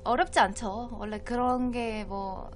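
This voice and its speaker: A young woman with a voice hoarse from a cold, talking softly and hesitantly to viewers on a live stream. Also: fairly high pitch, steady tone, unhurried, a little hiss or hum. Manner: hesitant, soft-spoken, trailing off.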